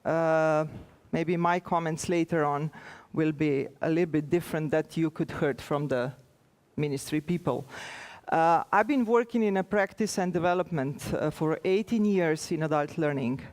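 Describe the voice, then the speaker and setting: A woman with a Slovenian accent, a native Slovenian speaker, giving an informal conference presentation as an official, in a hesitant, halting way with many pauses. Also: sits low, darkish tone.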